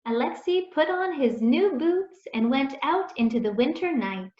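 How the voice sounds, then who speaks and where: Slow, high-voiced woman reading a children's story. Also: animated, a little mellow. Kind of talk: read-aloud storytelling, scripted narration. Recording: echoey room, dull muffled sound.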